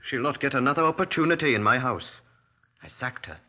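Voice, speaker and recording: A man's voice: mid-range voice, big pitch swings, brisk, sharp tone. An actor playing an anxious, resentful village businessman in a 1950s radio drama, speaking in a nervous, hesitant, indignant manner. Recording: dull old radio recording.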